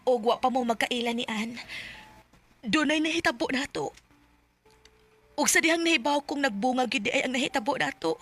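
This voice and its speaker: A woman acting as an ashamed but resolute single mother in an emotional Bisaya radio drama, speaking haltingly with a Cebuano accent. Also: sits high, a bit bright, faint music behind. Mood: ashamed, confessional.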